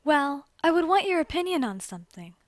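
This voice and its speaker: A woman voicing a composed, elegant young gambling lady in a fan fiction reading, speaking in a measured, formal way. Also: high voice, big pitch swings, a little hiss or hum. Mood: poised, curious.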